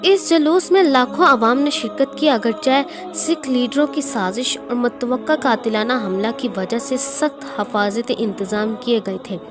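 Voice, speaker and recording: A woman's voice: on the high side, unhurried, hardly any pauses. A woman narrating a scripted historical speech in a formal, declamatory manner. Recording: music behind the voice. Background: clear background music.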